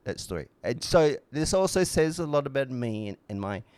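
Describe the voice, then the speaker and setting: A man co-hosting a casual podcast, talking in a rambling, opinionated way at a middle pitch. He is blunt and swears freely, and the sound is close and dry. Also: big pitch swings, moderate pace, a little mellow, clear, resonant tone, dry recording. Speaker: podcast co-host.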